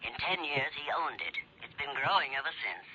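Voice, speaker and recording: Sharp, clipped informant. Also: male voice, on the high side, sing-song, brisk, exaggerated voice. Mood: matter-of-fact. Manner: clipped, factual. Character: brisk, matter-of-fact male informant giving a report. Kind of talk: 1970s radio drama acting. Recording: thin dull old radio recording.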